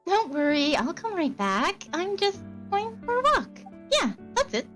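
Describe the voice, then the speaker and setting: A woman's voice: extremely high pitch, sing-song, unhurried, fairly bright tone, faint music behind, pitched above the performer's own voice, exaggerated voice. A woman voicing a nervous, polite young mistress in a visual novel, with an airy show of innocence. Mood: falsely reassuring. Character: nervous, polite young mistress making excuses to her servant.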